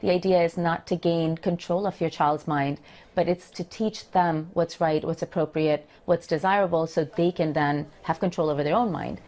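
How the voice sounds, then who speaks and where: A woman's voice: sits low, steady tone, moderate pace, darkish tone. A woman giving an explanatory interview comment as a psychologist.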